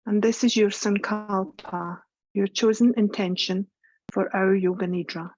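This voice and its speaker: A woman leading a guided meditation as a yoga teacher, speaking in a soothing, unhurried, instructive way. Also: medium pitch, steady tone, a little mellow, no echo, dull audio. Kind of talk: guided meditation, scripted narration.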